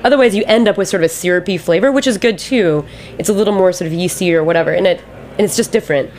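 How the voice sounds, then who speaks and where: A woman's voice: lowish voice, very fast, a little mellow. A woman speaking as a guest business owner in a casual studio chat, plain-spoken and a little sweary. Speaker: guest, ice cream company owner.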